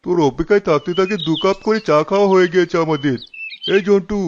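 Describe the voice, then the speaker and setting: A chatty cartoon villager played by a man talks in a high voice with a teasing manner. The recording is dull and faintly noisy, with birdsong behind. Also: quick pace, natural voice. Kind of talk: cartoon character voice acting. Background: birds chirping.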